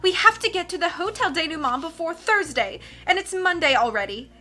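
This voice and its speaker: A woman putting on a shouting, cackling villain. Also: very high voice, expressive, fairly quick, soft background music, pitched above the performer's own voice, exaggerated voice. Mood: menacing. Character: wicked vain villain man shouting at children.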